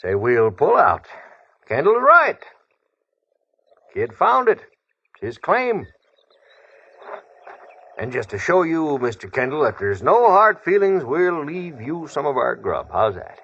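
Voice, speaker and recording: Husky old west crook boss, folksy n pushy, real up and down delivery, muffled old radio western. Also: male voice, fairly high pitch, big pitch swings, measured pace, pauses often, a bit bright, exaggerated voice. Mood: falsely conciliatory. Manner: rustic drawling grammar, blustering and wheedling. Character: scheming, folksy Old West claim jumper gang leader. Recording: dull, lo-fi old radio recording.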